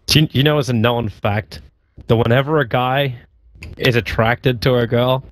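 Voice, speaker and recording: A man's voice: mid-range voice, fairly even intonation, normal speed, no echo. A man casting an esports draft in a studio, joking and teasing his co-caster. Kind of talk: casual draft commentary.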